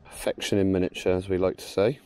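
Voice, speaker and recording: A man's voice: low-pitched, flat delivery, quick pace, dark tone, smooth. A man casually commenting while fishing a canal, chatty and explaining his tackle. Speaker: angler. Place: canal bank outdoors.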